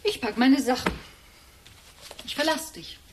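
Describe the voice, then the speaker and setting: A woman's voice: high voice, measured pace, noisy background. An actress playing a scornful woman leaving her older partner in a German radio drama, dismissive and pointed. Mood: cool, scornful.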